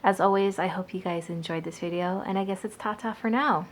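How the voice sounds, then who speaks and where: A woman's voice: mid-range voice, brisk. A young woman from the Boston area, a book vlogger chatting casually to camera, rambling with lots of asides.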